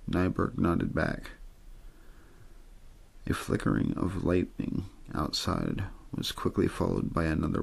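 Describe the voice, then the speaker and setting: A man softly reading a story in ASMR style, unhurried and untheatrical, with a husky, gravelly voice. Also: deep voice. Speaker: host and reader of an audio ASMR show.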